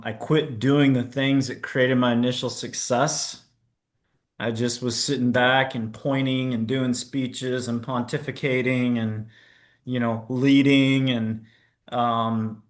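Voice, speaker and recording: A middle-aged man talks through his own story in a relaxed interview, frank and self-mocking. His voice sits at an ordinary pitch, and he often trips and restarts mid-sentence. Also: slow. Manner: candid, self-deprecating, with stammered restarts. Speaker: podcast guest, entrepreneur.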